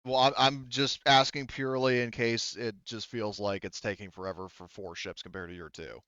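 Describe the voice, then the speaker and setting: A man's voice: lowish voice, fairly even intonation, normal speed, a bit bright, clear, resonant tone, no room sound, lo-fi sound. A man running a tabletop game as game master, talking casually and hesitantly as he thinks through and explains the rules.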